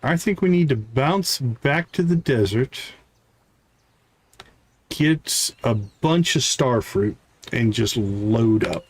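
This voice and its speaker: A man's voice: medium pitch, animated, slow pace, slightly rough. A man giving casual live commentary on a game he is playing, chatting to the characters as he goes. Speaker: game streamer. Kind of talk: live gameplay commentary, reading game dialogue aloud.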